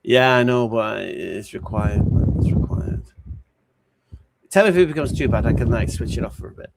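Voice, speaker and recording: A man's voice: medium pitch, normal speed, gravelly. A man hosting a casual live stream, reading out viewer questions and answering them bluntly. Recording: steady background noise.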